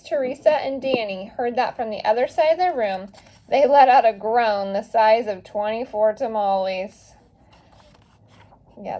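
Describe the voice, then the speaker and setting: A woman reading a children's story aloud in an animated, expressive way with emphatic pauses. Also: on the high side. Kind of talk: animated children's read-aloud with casual asides.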